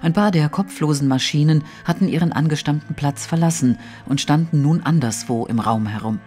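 A man narrating a detective audio play in a measured, literary, suspenseful way. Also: fairly high pitch, darkish tone, lightly acted. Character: storytelling narrator of a mystery.

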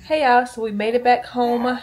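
A woman's voice: on the high side, fairly even intonation, moderate pace, a little mellow, poor audio. A young woman, chatting casually to her viewers in a rambling way while holding the camera at arm's length. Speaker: vlogger. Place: home bedroom and a store. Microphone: handheld camera at arm's length.

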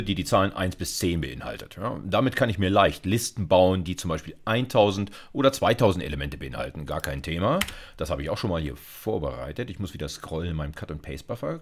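A man in his fifties with a very low voice and lively, swinging intonation. He lectures informally like a university teacher coding live, speaking conversationally and checking in with listeners often. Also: slight background noise. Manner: conversational, with frequent "okay" and "ja" check-ins. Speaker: university computer science lecturer. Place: indoors, recorded at a computer desk.

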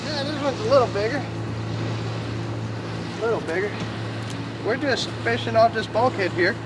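A man's voice: extremely high pitch, animated, normal speed, noisy background. A middle-aged man talking casually and folksily outdoors as the host of a fishing vlog. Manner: folksy, informal. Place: outdoors on a waterfront fishing pier and bench. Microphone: camera a few metres away. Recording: distant outdoor camera sound under loud music.

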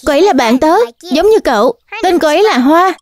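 A woman's voice: sits high, expressive, brisk. A woman voicing a cheerful, caring little child in a cartoon dub, with simple, exclamatory speech.

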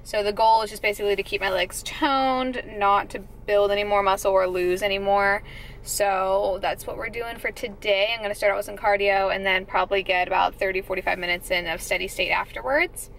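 Young woman fitness vlogger, casual and chatty, creaky voice, close camera in a car. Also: medium pitch, steady tone, brisk, fairly bright tone, a little hiss or hum. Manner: chatty, filler-heavy, upbeat. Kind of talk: casual vlog talk to camera. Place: parked car. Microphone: handheld camera close-up.

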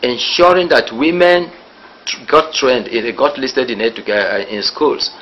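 A man speaking haltingly as an interviewee, searching for words. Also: mid-range voice, expressive, dull audio, slight background noise.

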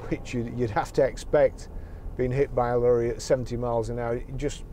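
Middle-aged dealer, mellow voice, rambling chat at the wheel, faint road hum. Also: male voice, medium pitch, level tone, a little fast. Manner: chatty, rambling, many restarts. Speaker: car dealer reviewing a car. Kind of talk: casual car review while driving. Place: inside a car on the road. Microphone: car-mounted camera.

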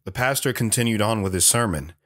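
A man's voice: lowish voice, fast talker, fairly bright tone. A man playing an earnest, wistful retired soldier who tells his life story with restraint in an audio drama. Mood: somber, reflective.

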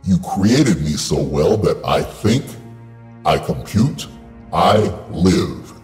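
An actor playing a giant, menacing robot overlord in an audio drama, speaking coldly and slowly. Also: male voice, low-pitched, sing-song, a little mellow, roomy sound, faint music behind, heavily acted. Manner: cold, slow and menacing.

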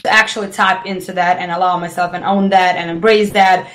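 A woman from Lithuania with a Lithuanian accent, a sex educator guest talking in a lively, expressive way and laughing often. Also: fairly low pitch, a little fast. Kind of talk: casual interview chat.

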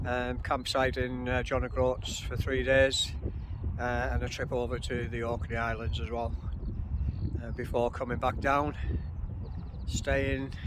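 An older man chatting casually to his phone camera while walking along a campsite road outdoors, with relaxed, rambling delivery full of uh and um. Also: mid-range voice. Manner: relaxed, chatty, lots of uh and um fillers. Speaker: caravanning vlogger. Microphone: phone selfie camera at arm's length while walking. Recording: loud wind and outdoor noise on phone mic. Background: wind and rural outdoor ambience.

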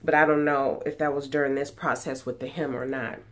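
A low-pitched woman speaks in a nasal, stuffed-up voice because of a cold. She rambles in a chatty way, full of ums and false starts, as she talks to a webcam in a small room at home. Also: little pitch movement, brisk. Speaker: sewing vlogger. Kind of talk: casual chat. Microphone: webcam at desk distance.

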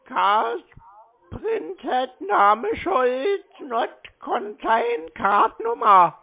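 A slow, gravelly voice on a muffled phone call. The speaker sounds naive and confused, repeating things literally, and the pitch wanders widely as they plod along. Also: sing-song. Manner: confused, literal, plodding, repetitive. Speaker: prank callee stringing along a scam caller.

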